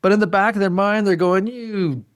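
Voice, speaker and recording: A man with a fairly high-set voice talks as a podcast host. His delivery is casual and conversational. He rambles with enthusiasm, often repeating himself as he builds a thought. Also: moderate pace, smooth.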